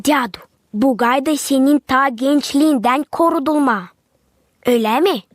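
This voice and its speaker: A woman's voice: high voice, normal speed, no echo, studio quality, close to an everyday voice. A woman voicing a curious, thoughtful young animal child in a cartoon, friendly and musing. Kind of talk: cartoon character acting.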